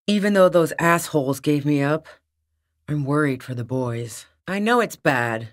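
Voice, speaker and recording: A woman's voice: sits low, expressive, measured pace. A tough, sardonic fugitive woman. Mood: grim, resigned. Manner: blunt, sardonic, profane slangy talk. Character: tough, wary fugitive woman, wry and defiant radio tinkerer. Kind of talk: video game character dialogue.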